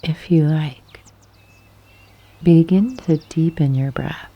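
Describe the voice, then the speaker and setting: A woman guiding a yoga nidra meditation in a soft, breathy half-whisper, gently and calmly, with long pauses. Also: sits low, lo-fi sound. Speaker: meditation guide. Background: birdsong and nature sounds.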